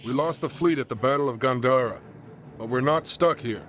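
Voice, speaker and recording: A man playing a confident, roguish soldier leader in a video game, brisk, informal and reassuring. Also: mid-range voice, a bit bright, natural voice. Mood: brisk, determined. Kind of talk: dramatic video game cutscene acting. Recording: music behind.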